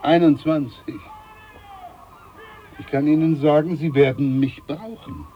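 An actor playing a boastful, condescending older ship's doctor in a radio play. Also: male voice, on the high side, fairly even intonation, a little slow, highs cut off, a little hiss or hum, lightly acted. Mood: pompous. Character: pompous older ship's doctor, self-important.

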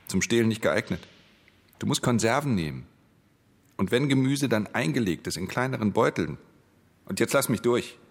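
Very deep, gravelly, brooding thief. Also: male voice, heavily acted. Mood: gruff, wary. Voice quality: very deep, gravelly, breathy in hushed passages. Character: brooding, weary male thief narrating his own story. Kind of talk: radio drama first-person narration.

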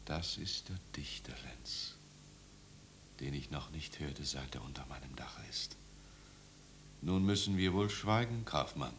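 An actor playing a kindly middle-aged village pastor in a 1950s German radio drama, patient and instructive. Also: male voice, a bass voice, a little slow, pauses often, a bit bright. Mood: hushed, moved. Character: kindly, measured village pastor, fatherly host. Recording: noisy 1950s radio recording.

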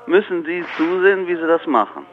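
A man's voice: a little high, expressive, a little fast. A man working a ministry phone line, answering a caller in a curt, exasperated and insistent way. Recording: noisy, dull phone line.

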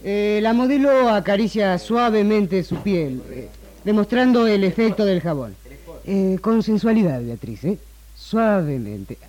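An actor playing a bossy film director and loyal friend in a 1960s Argentine radio drama. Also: male voice, sits high, lively intonation, measured pace, dark tone. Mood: commanding. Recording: 1960s radio archive, music behind.

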